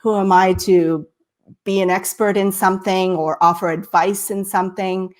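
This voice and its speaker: A woman's voice: medium pitch, steady tone, normal speed, darkish tone. Enthusiastic slightly nasal woman host. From Texas. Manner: enthusiastic, many "right?" and "I love it". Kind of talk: live-streamed interview.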